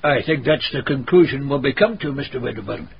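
A man's voice: medium pitch, brisk, vocal fry, lightly acted. Grave, plain-spoken Scottish man played by an actor, middle register, radio drama on a dull recording. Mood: grave, earnest. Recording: muffled radio recording, faint noise.

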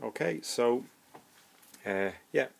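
A middle-aged man giving a casual, halting DIY demonstration as a hobbyist, thinking aloud as he goes. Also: mid-range voice, expressive, vocal fry. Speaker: hobbyist presenter.

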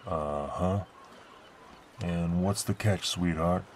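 A man plays a burly, surly brother with a very deep voice. He talks in a gruff, sarcastic way and threatens easily. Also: fairly even intonation, slow pace, slight background noise.

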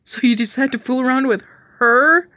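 Betrayed young boyfriend in a story reading, read by a woman, raised pitch, hurt and accusing. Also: normal speed, bright, forceful tone, no echo. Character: heartbroken, betrayed young man confronting his cheating boyfriend.

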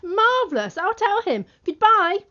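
A woman's voice: very high voice, sing-song, measured pace, some chatter behind, slight background noise, heavily acted. A woman playing a cheery, doting wife in a parody of fifties radio drama. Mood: chirpy, doting. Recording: muffled vintage radio sound.

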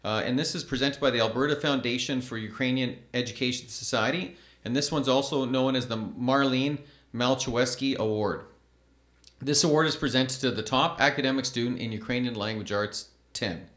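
A man in his thirties reads award citations at a podium, his middle-pitched voice measured and formal. The room rings around him with a roomy echo, as on a school event stage. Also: fairly bright tone. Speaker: awards ceremony announcer. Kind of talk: reading award announcements. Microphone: podium microphone.

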